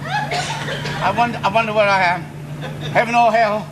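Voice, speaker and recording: A man's voice: high voice, huge pitch swings, unhurried, over a noisy crowd, full character voice. A man with an English accent playing a bumbling suburban husband in a TV sitcom, hesitant and theatrically flustered. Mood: dazed, pleading. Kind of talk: British TV sitcom acting. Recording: very noisy old TV recording.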